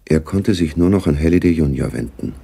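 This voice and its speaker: A man narrating a spy radio drama gravely, with a deep, gravelly voice. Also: lively intonation, fairly quick, mellow, exaggerated voice.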